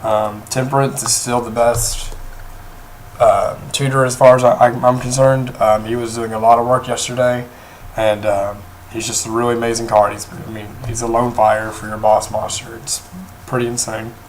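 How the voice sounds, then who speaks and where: A young man with a hoarse voice, talking casually through a card game deck, rambling with many pauses and restarts. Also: medium pitch, little pitch movement, a little hiss or hum, poor audio. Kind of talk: casual deck profile talk. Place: indoors at a table, off camera.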